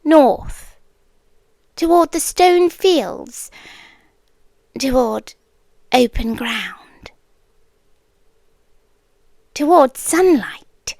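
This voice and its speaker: A British woman voicing a possessive, coaxing scorpion captor in an intimate ASMR roleplay, teasing and deliberate, often half-whispering. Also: high-pitched, animated, vocal fry, a little mellow, dry recording, full character voice. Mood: amused, possessive.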